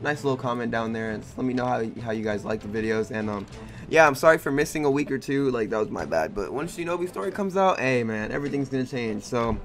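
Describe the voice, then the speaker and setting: Hoarse young man in excited gameplay commentary. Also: mid-range voice, fast talker. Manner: excitable, laughing, trash-talking slang. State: hoarse, losing his voice. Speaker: gaming YouTuber.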